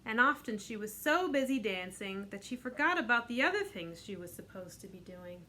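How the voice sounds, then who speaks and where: Gentle middle-aged woman reading a picture book. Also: fairly high pitch, moderate pace, a little hiss or hum. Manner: gentle, expressive storyteller, sing-song lifts. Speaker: children's librarian. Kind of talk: storytime read-aloud for children.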